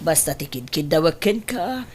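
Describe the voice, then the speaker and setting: An actress playing a controlling middle-aged mother in an Ilocano audio drama, insistent and deliberate, with an Ilocano accent. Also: deep voice, animated, a little mellow, a little hiss or hum.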